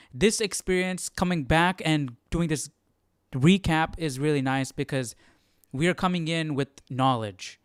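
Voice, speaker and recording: A man's voice: on the high side, clean tone, no room sound, studio quality. A man hosting a live Bible study, talking informally and thinking aloud, often checking in with "right?". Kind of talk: casual, conversational livestream commentary.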